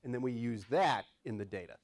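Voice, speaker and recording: A man's voice: mid-range voice, expressive, a little fast, a little mellow. A man, an economics professor giving a live talk in a small room, conversational and wry, asking the audience questions. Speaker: economics professor, featured speaker.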